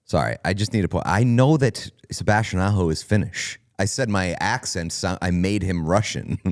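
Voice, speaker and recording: A man's voice: sits low, moderate pace, no room sound, studio quality. A man co-hosting a podcast, joking and self-deprecating in casual sports chat. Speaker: podcast co-host.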